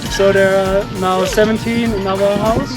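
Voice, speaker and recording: A man with a Mexican accent, a native Spanish speaker, casually explaining things to visitors in halting English. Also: sits high, level tone. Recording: loud music under handheld camera audio.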